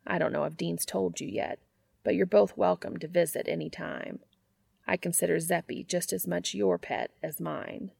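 A woman voicing a reserved, courteous man in an audiobook, measured and understated with dry humor. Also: lowish voice, fairly even intonation, gravelly, a little mellow, husky, no room sound, full character voice. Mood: sincere. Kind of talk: audiobook character voice.

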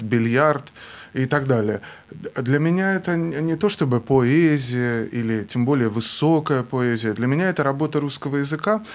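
A young man speaks as a guest on a radio talk show, reflective and measured. His voice is slightly high for a man, and he stops and restarts on words as he thinks. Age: young adult. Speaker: guest, film director. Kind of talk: thoughtful interview talk.

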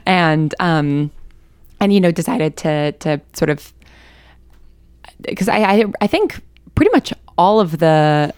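Low-voiced woman in a dry-sounding interview. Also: animated, takes it slowly, pauses often, a little mellow, clear, resonant tone, no room sound. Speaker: writer interviewed as a guest. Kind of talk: casual interview chat.

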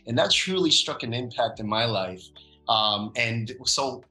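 A man's voice: mid-range voice, measured pace, roomy sound, soft background music. A man from the Dominican Republic, a podcast guest talking casually and thoughtfully, with many ums and uhs. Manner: relaxed, thoughtful, frequent um and uh fillers. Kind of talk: casual conversational interview.

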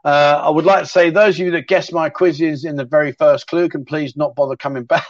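Matey host, middle-aged, rambling chat, slightly raised pitch, dry close mic. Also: male voice, steady tone, brisk, no echo, highs cut off. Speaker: livestream chat show host. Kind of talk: casual livestream chat reading viewer questions.

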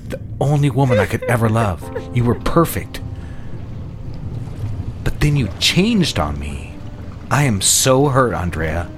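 An actor playing a manipulative, condescending husband in a period audio drama, with a patronizing, coaxing way of talking. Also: male voice, mid-range voice, lightly acted. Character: manipulative, condescending husband gaslighting his fragile wife.

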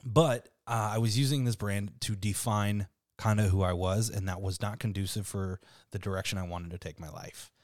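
A man in his thirties with a deep voice, hosting a casual podcast chat in a relaxed, freely swearing way. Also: normal speed, hardly any pauses, no room sound, studio quality. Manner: laid-back, swears freely, laughs often. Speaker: podcast host.

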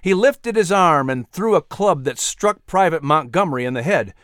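Higher-voiced male story narrator, dry. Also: expressive, normal speed, a bit bright, no echo. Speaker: audiobook narrator. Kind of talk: dramatic scripted story narration.

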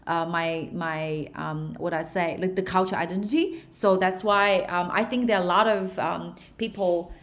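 Interview guest: young woman, Australian-tinged Chinese accent, fairly low voice, pauses to search for words. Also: fairly even intonation. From China. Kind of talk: interview answers.

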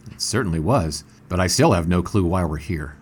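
An actor playing a bewildered 1920s sportswriter, questioning and flustered, in an audio drama. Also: male voice, low-pitched, lively intonation, fairly quick, darkish tone, a little hiss or hum. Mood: puzzled. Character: bewildered 1920s sportswriter, puzzled living friend.

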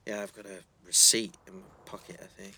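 A man's voice: lowish voice, level tone, brisk, bright, forceful tone, breathy edge, heavily acted. An actor playing a shy, earnest teenage schoolboy, hesitant and flustered, repeating his words in a naturalistic audio drama. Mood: nervous. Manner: hesitant, stammering repetitions, flustered.

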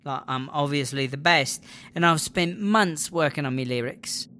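A woman's voice: low-pitched, normal speed, fairly bright tone, slight background noise. A woman playing a brash, sulky inmate rapper in a comedy audio drama. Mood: dejected bragging.

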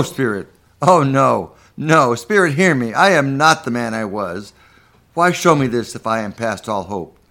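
A man's voice: medium pitch, bright, forceful tone. A man playing a repentant old miser in a live radio play of a Victorian story, earnest, emphatic and theatrical. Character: repentant old miser, pleading, then giddily joyful.

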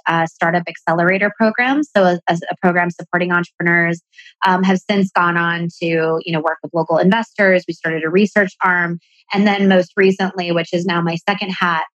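American-accented woman guest, kinda low voice, chatty and upbeat, slightly muffled dry sound. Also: no room sound. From Pakistan. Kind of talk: podcast interview.

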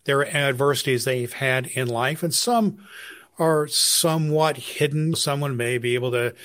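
A man hosts a podcast interview at a middle pitch. He talks haltingly, pausing and restarting as he searches for the right words. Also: a bit bright. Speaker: podcast host.

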